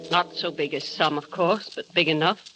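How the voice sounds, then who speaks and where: An actress playing a cold, stiffly formal housekeeper of a grand house in a 1930s radio drama. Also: female voice, deep voice, moderate pace, bright, forceful tone, slight background noise, poor audio.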